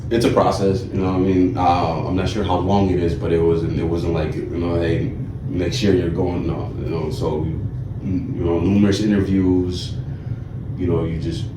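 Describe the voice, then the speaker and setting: A man in his late twenties from Ethiopia, telling his own story casually as an interview guest, halting and peppered with filler phrases. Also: low-pitched, level tone. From Ethiopia, then Buffalo in the US from age eleven. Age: late 20s. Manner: halting, frequent "you know". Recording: roomy, distant mic, clear background noise.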